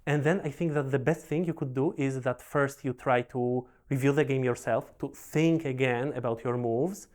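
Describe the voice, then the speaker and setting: Polish-accented young man, halting speech. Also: mid-range voice, darkish tone. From Poland. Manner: halting, repeats words, frequent fillers. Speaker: professional Go player. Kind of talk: relaxed personal storytelling.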